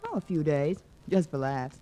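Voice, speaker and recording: Low, mellow-voiced flirtatious socialite. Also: female voice, very animated, moderate pace. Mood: flirty, playful. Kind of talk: 1940s radio drama acting. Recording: noisy vintage 1940s radio recording.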